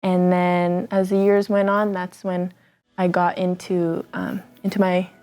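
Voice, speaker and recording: A woman's voice: on the low side, flat delivery, a little slow, a little mellow, smooth. Nineteen-year-old Canadian woman, pageant guest, casual rambling interview delivery. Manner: chatty, frequent ums and restarts.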